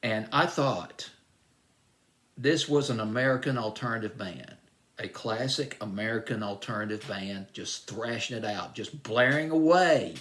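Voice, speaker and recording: A man's voice: lowish voice, fairly bright tone. Rambling Southern man hosting a countdown. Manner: rambling and enthusiastic, with restarts and drawn-out phrases. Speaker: radio music show host. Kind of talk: chatty countdown commentary.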